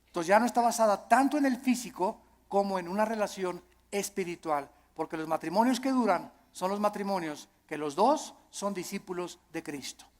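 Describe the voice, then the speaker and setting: A man's voice: sits high, moderate pace, a little mellow. Mexican guy preaching a sermon, emphatic, repeats himself and asks the crowd rhetorical questions, big echoey hall reverb.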